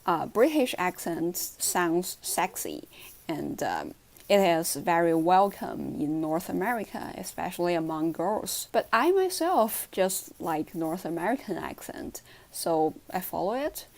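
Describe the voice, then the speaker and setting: A woman's voice: fairly low pitch, very animated, measured pace. A woman with a Chinese accent, a native Mandarin speaker, earnestly explaining language-learning tips step by step in a casual vlog.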